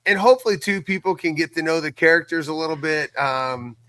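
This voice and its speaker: A man's voice: a little high, quick pace, a bit bright. A man chatting casually as a podcast guest, enthusiastic and emphatic, often stammering and repeating words as he goes. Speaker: podcast guest, co-creator of an audio drama.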